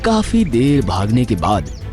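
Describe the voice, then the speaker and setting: A man narrating a scripted children's story in an expressive storytelling manner. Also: mid-range voice, slow, faint music behind.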